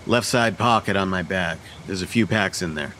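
A young man playing a bossy, practical college student in an audio horror drama, brisk and sardonic. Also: deep voice, bright, forceful tone, a little hiss or hum. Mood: matter-of-fact. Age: young adult. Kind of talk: radio horror drama acting.

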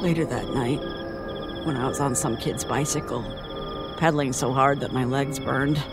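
An actress playing a traumatized survivor in an audio horror drama, telling a nightmarish ordeal in halting, broken phrases. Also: female voice, a bass voice, fairly even intonation, loud music behind the voice. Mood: subdued, weary. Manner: halting, haunted, breaking off and repeating words. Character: traumatized woman survivor recounting a nightmarish attack by neighbors. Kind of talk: audio horror drama acting, testimony monologue.

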